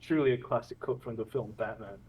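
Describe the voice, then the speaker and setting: A man's voice: lowish voice, brisk, a crowd in the background. A man chatting casually with friends in a group voice chat, offhand and joking.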